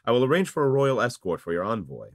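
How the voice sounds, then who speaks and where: A man's voice: medium pitch, very animated, quick pace, dry recording, studio quality, natural voice. A man voicing a courteous, dignified marquis in a visual novel, speaking formally and with measure. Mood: calm, courteous. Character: courteous, dignified male human marquis seeking peace. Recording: loud music over the voice.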